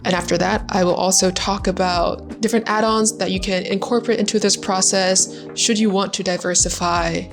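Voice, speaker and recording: A young woman whose first language is Vietnamese, explaining language learning as an English tutor. She talks in a friendly, chatty way at a middle pitch, with loose fillers here and there. Soft music plays faintly behind her. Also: steady tone, moderate pace, gravelly, hardly any pauses. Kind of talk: casual YouTube lesson talk.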